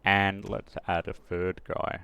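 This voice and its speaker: A man's voice: low-pitched, level tone, slow, creaky, pushed and bright, clear, resonant tone, no echo. A man presenting a casual screen-recorded tutorial, thinking aloud step by step with some hesitation. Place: close dry voice-over of a screen recording.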